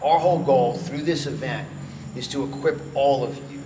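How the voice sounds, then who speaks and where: A man's voice: medium pitch, normal speed. A middle-aged man with a New York accent giving a promotional interview, speaking emphatically and repeating phrases for effect. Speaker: guest, event organizer and motivational speaker. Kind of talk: promotional interview talk with personal storytelling. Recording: roomy echo, clear background noise.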